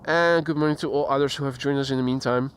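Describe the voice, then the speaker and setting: A man's voice: medium pitch, fairly even intonation, quick pace, smooth. A man hosting a casual live stream outdoors, chatting with viewers and greeting them by name. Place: outdoors near an airport. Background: faint outdoor traffic and voices.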